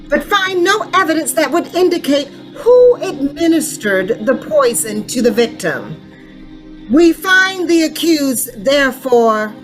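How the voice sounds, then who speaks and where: A woman playing a deliberate, formal official giving solemn testimony in an old-time radio drama. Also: sits high, full character voice, rough recording. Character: measured, careful expert medical witness and solemn jury foreman. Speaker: actor in an amateur radio play.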